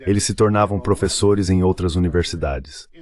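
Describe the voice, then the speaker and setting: A man's voice: deep voice, steady tone, moderate pace, darkish tone. A man with a Brazilian accent reading a translated interview as a voice-over.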